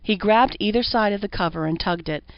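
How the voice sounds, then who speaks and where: A woman's voice: mid-range voice, brisk, smooth. A woman reading a horror story as an audiobook narrator, in scripted narration. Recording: roomy echo, dull lo-fi sound.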